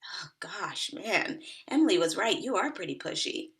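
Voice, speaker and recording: An actress at a table read playing a blunt woman in her thirties, with a dry, deadpan delivery. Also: medium pitch, moderate pace. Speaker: actor playing Megan. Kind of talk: table read of a comedy pilot.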